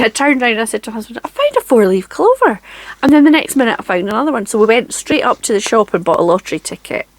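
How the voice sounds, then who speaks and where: A Scottish woman in her forties, chatting casually to camera from her home craft room in a chatty, self-deprecating way with frequent ums and soft laughs. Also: a little high, dry recording, low-quality recording. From Scotland. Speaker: craft channel host.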